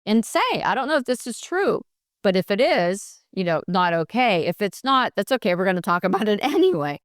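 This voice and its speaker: A middle-aged woman nurse consultant answering in a podcast interview, energetic and practical, often acting out what people say. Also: medium pitch, fairly quick, no echo, clean studio sound. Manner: energetic, practical, acts out quoted dialogue.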